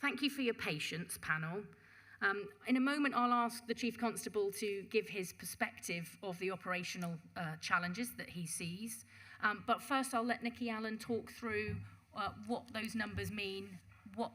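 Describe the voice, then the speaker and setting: A woman presenting a budget proposal to a council panel in a measured, formal address, reading out figures with occasional restarts. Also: medium pitch, sharp tone. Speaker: police and crime commissioner presenting a budget. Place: council meeting chamber.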